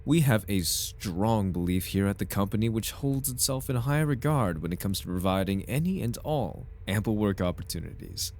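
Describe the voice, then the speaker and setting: A man's voice: fairly low pitch, expressive, normal speed, few pauses, darkish tone. A man playing a suave, over-polite corporate recruiter in an ASMR roleplay, with a formal, unctuous salesman's patter. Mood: welcoming, smooth. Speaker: voice actor in an audio roleplay. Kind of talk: ASMR roleplay, scripted in-character monologue.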